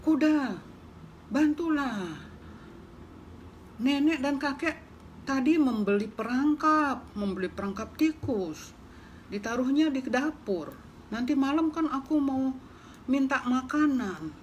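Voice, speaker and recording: A tiny, anxious mouse from a storybook begs for help, as a woman acts it out. The voice rides high and urgent, pleading with every phrase. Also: animated, slow pace, pauses often, highs cut off, a little hiss or hum. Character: small timid worried mouse.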